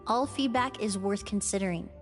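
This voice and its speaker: An actress playing a composed, thoughtful young perfumer in a video game, speaking in a measured, polite and precise way. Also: female voice, mid-range voice, faint music behind, lightly acted. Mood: modest, gentle.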